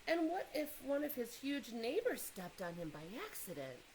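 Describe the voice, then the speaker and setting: A woman reading a children's picture book aloud in an expressive way, pausing to engage young listeners with questions and asides. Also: a little high, slight background noise, poor audio.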